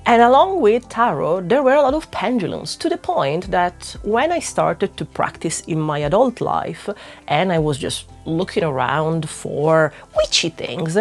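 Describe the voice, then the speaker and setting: A woman's voice: on the low side, sing-song, a little slow, faint music behind. A young adult woman from northwest Italy who speaks English with an Italian accent, candid and self-deprecating in a casual vlog to camera, often restarting her words. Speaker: witchcraft YouTuber.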